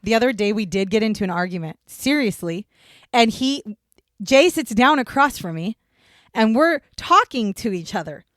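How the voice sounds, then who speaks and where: A woman's voice: a little high, expressive, normal speed, dry recording, studio quality. A woman in her forties co-hosting a podcast in casual banter, chatty, laughing often and swearing freely, with rambling asides. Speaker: podcast co-host.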